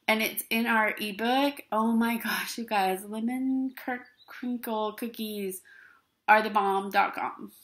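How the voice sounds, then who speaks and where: A woman's voice: medium pitch, fairly bright tone. A middle-aged woman vlogging to camera from a small dim room, chatty and bubbly, often checking in with "okay?". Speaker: essential oils vlogger. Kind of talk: casual talk to camera.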